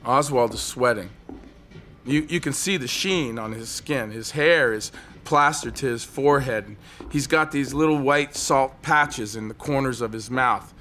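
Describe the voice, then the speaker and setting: An actor playing a brooding, desperate man on a ledge in an audio drama, telling his story in an intense, halting, obsessive way. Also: mid-range voice, pushed and bright, slight background noise. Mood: haunted, reflective. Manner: intense, halting, obsessive monologue with repeated phrases.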